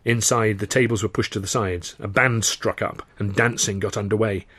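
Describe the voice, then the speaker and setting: A man with an English accent reads his own novel aloud in measured, first-person storytelling. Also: fairly low pitch, steady tone, a bit bright, husky, dry recording. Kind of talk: dramatised audiobook narration.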